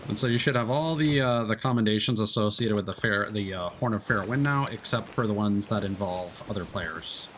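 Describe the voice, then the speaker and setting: Man, lowish voice, casual game explaining, lots of ums, background noise. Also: a little fast, hardly any pauses, a bit bright. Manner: explaining step by step with many fillers. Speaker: streamer guiding a co-player. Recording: noisy stream audio.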